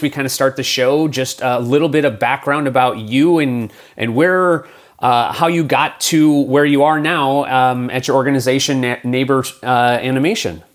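A man hosting a podcast interview, talking in a friendly, loose way with fillers and small stumbles. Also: medium pitch. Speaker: podcast host.

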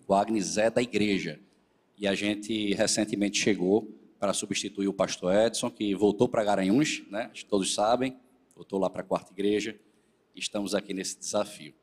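Slightly nasal preacher in a hall. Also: male voice, on the low side. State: still a bit hoarse after the flu. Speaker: presbyter leading a church service. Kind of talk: sermon with scripture reading.

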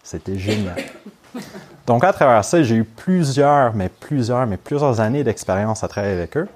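Man, young adult, Québécois French accent, English first language, trainer addressing a class, chatty and informal, middling pitch. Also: expressive, darkish tone, slight background noise. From Montreal, Quebec, Canada. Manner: chatty and informal, with quick laughs and "ok?" checks. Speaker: neurofeedback trainer. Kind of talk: opening a training course.

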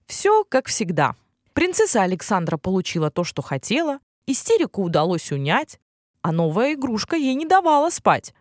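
Female fairy-tale narrator for children, highly animated intonation, very dry close sound. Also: moderate pace, no room sound. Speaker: storyteller.

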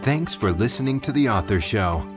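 A man's voice: lowish voice, expressive, moderate pace. A man reading a scripted show announcement as an announcer. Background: music with guitar.

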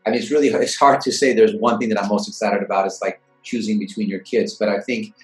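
Low-voiced man answering interview questions. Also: steady tone, faint music behind. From Iran. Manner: measured, thoughtful, lots of fillers. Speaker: guest, venture investor.

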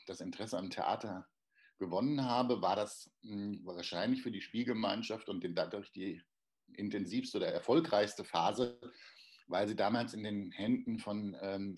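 A playwright with a Hessian accent, chatting in an interview and often searching for words and restarting. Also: male voice, fairly low pitch. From Darmstadt, Germany. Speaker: playwright guest. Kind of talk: casual podcast interview.

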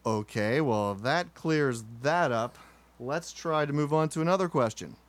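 A man's voice: fairly high pitch, animated, measured pace. A man hosting a comedy podcast, asking questions in a dry, matter-of-fact way. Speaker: podcast host. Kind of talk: mock interview, dry comedy.

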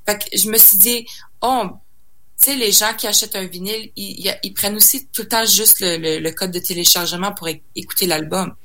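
A woman's voice: mid-range voice, steady tone, brisk, sharp tone. A young woman from Saskatchewan, a singer guest in a casual interview, talking hesitantly with many fillers and restarts and slipping in English words. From Saskatchewan, Canada.

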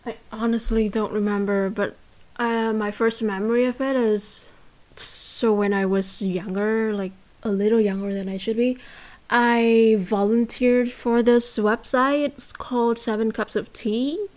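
A woman's voice: a little high, fairly even intonation, unhurried, a little mellow. A young woman from Vietnam with a Vietnamese accent, talking as a guest in a casual interview, hesitant with many fillers and restarts.

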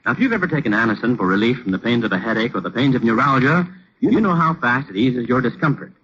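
Bright-toned vintage American radio announcer. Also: male voice, medium pitch, lively intonation, fast. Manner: earnest, persuasive. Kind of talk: 1940s radio advertisement, scripted. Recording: dull, noisy old radio recording.

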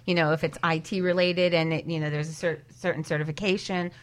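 A woman's voice: sits low, fairly even intonation, fast talker. A woman career coach speaking as a guest on a talk show, giving conversational advice.

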